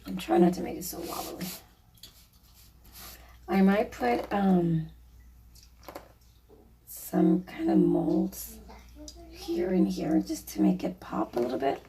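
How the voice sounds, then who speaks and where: A mother with a raspy, gravelly, slightly hoarse voice talks slowly while she works, rambling and apologizing as she explains each step. Her tone is mellow and dark. She sounds a little distant from the mic, with faint noise behind her. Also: on the low side, animated. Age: adult, a mother. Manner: chatty, apologetic, rambling with fillers. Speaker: DIY vlogger. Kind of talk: casual how-to narration while painting.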